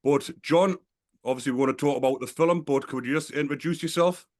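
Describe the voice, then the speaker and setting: A man's voice: fairly high pitch, a little fast. A man hosting a podcast, opening the show and asking questions in a friendly, upbeat way. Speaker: podcast host. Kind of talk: interview hosting.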